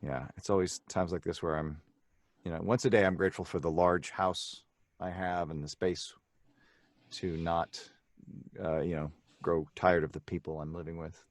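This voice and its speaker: Wry deep-voiced man, dry close sound. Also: fairly even intonation, normal speed, no room sound. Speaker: voice actor guest. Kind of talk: casual chat.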